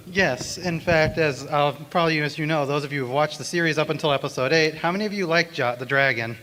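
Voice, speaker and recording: A man speaking haltingly as a panelist, explaining things to a convention hall. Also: fairly high pitch, bright, forceful tone. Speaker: panelist and series co-writer. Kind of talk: live convention panel talk. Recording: faintly noisy hall recording.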